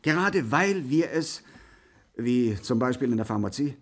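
A man's voice: mid-range voice, animated, measured pace. An actor playing a dismissive, evasive industry spokesman in a German radio play, formal and defensive.

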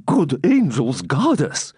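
A man's voice: fairly high pitch, huge pitch swings, takes it slowly, a little mellow, slightly rough, dry recording, clean studio sound, voice pushed up, heavily acted. A man voicing a hypocritical preceptor of a religious house, answering in a deferential, falsely humble tone.

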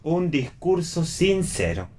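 A man's voice: on the high side, very animated, takes it slowly, mellow. A man with an Argentine accent playing a contrary, sardonic, restless character in a read radio play. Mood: dry. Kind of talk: read radio theatre dialogue.

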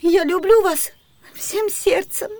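A woman's voice: very high voice, slow pace, a little mellow, exaggerated voice. An actress playing a melodramatic young noblewoman in a classic comedy radio play, plaintive and theatrical. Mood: pleading, desperate.

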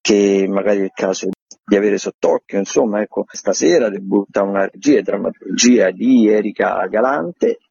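A man's voice: lowish voice, moderate pace, creaky, a little mellow, slightly rough, no echo, lo-fi sound. A man, a theatre critic on radio, going through event listings in a casual, hesitant, rambling way. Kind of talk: semi-scripted listings rundown on radio.

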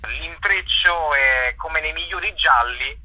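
Husky, sharply bright man on radio. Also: on the high side, slow pace, highs cut off. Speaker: guest, theatre actor, co-writer and director. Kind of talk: informal promotional interview.

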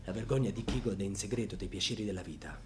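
An actor playing a proud, wounded, defiant grandson in a dramatic radio monologue, with a husky voice. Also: male voice, fairly low pitch, quick pace, background noise, lightly acted. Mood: bitter, brooding. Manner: dramatic, swinging from hushed confiding to fierce outbursts.

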